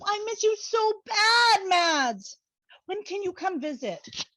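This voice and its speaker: Casting: actress as dramatic young bestie, needy and blunt, very animated high voice, comedic stage reading. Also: female voice, slow, heavily acted, low-quality recording. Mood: lonely, complaining. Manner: exclamatory, dramatic, valley-girl slang. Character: Brooklyn, gushing, needy, blunt young married best friend. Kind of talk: online play reading, comedic dialogue.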